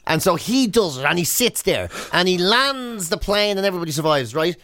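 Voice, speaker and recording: A man's voice: sits high, fairly quick, dry recording, studio quality. An Irish man co-hosting a comic breakfast radio show, telling stories and acting out imagined dialogue as he goes. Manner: animated storyteller, acts out imagined dialogue.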